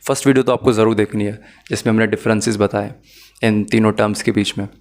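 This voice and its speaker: A man's voice: on the low side, steady tone, fast talker. A man with a Pakistani accent giving an explanatory law lesson in a methodical, step-by-step manner. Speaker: law lecturer. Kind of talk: explanatory lesson mixing Urdu and English.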